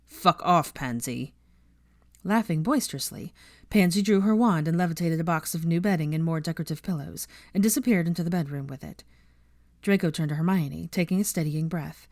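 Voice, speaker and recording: A woman's voice: deep voice, a little fast, warm tone, no room sound, studio quality. A woman reading fiction aloud as an audiobook narrator, delivering scripted story narration.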